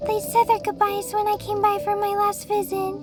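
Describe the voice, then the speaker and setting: A woman voicing a gentle, weary pony mare who serves as Death, speaking softly, tenderly and wistfully in an audio drama. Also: very high-pitched, monotone, normal speed. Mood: tender, nostalgic. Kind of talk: audio drama character dialogue. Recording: loud music bed, slightly distant. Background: loud music.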